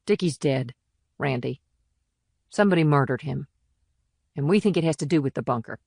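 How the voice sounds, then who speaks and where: A man voicing a blunt, urgent female investigator in an audiobook, plain-spoken and forceful. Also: on the high side, lively intonation, normal speed, vocal fry, no echo, studio quality. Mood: grave.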